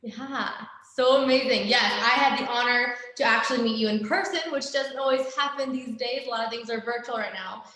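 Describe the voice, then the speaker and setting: A woman's voice: on the high side, fairly quick, hardly any pauses, sharp tone. Effusive female host in an echoey room. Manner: effusive, enthusiastic introductions. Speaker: interview host. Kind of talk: hosting a live online interview show.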